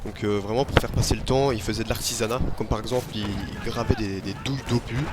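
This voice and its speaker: A man's voice: lowish voice, fairly even intonation, quick pace, heavy background noise. A young man plainly explaining trench life, hesitating often with fillers. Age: teens or young adult. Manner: plain, hesitant, many "euh". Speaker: student presenter. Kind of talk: explanatory history presentation.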